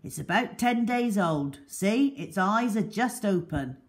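A woman's voice: medium pitch, animated, measured pace, pitched above the performer's own voice, close to an everyday voice. A woman voicing a bearded wildlife rescuer in a storybook, firm but kind. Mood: kindly. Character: gruff bearded man, stern then kindly wildlife rescuer.

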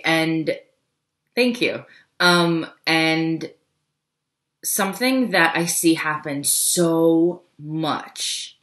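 Low, scratchy-voiced woman with a cold. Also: a bit bright, echoey room. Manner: chatty, rambling, quick asides. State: hoarse from a cold. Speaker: business coach. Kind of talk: casual livestream talk. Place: home office, indoors.

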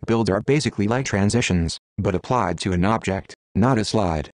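Low-voiced man explaining software, dry sound. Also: moderate pace, dry recording. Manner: methodical, step-by-step explaining. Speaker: instructor. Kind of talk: screen-recorded software tutorial.

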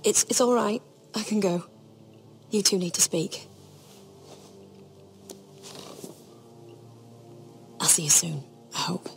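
A woman playing a tender, anxious young noblewoman in a fantasy video game, soft and intimate in manner. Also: fairly low pitch, moderate pace. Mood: polite, awkward. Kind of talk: dramatic video game acting. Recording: clear music behind the voice.

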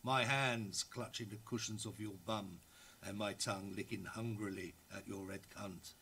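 A lustful, teasing writer of the early 1900s, speaks in a fairly low male voice. It is a dramatic reading of an intimate letter to his beloved. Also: steady tone, normal speed, sharp tone, slight background noise.

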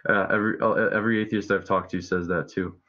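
A man's voice: low-pitched, steady tone, fast, bright, forceful tone. A man in his thirties, a preacher debating casually and insistently with pointed questions, heard over a lo-fi video call. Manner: insistent, repeats key words, poses pointed questions. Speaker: street preacher, debate guest. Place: room at home, video call. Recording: dull, lo-fi video call audio.

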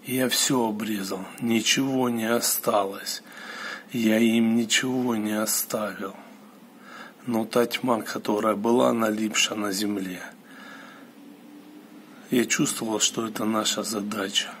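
A man tells a personal story in a solemn, halting way, breaking it into short phrases. His voice sits fairly low and stays very flat in pitch. Clear background noise runs under the recording. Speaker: storyteller recounting a mystical experience. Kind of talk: slow personal monologue.

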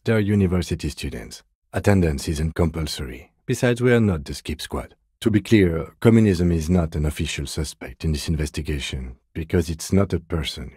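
An actor playing a composed, dry-witted police lieutenant in a video game, measured and formal, with a husky voice. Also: male voice, a bass voice, mellow, no room sound, exaggerated voice. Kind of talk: scripted game dialogue.

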